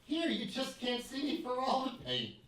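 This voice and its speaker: Echoey, blustering put-upon husband. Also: male voice, very high voice, huge pitch swings, moderate pace, a bit bright, heavily acted. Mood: sheepish. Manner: exasperated, sarcastic. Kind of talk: live old-time radio comedy acting. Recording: distant echoey stage recording, some noise.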